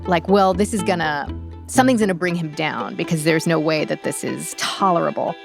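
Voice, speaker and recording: Deep, creaky-voiced woman over music. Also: a little fast. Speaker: actor in the play, interview guest. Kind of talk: reflective interview talk.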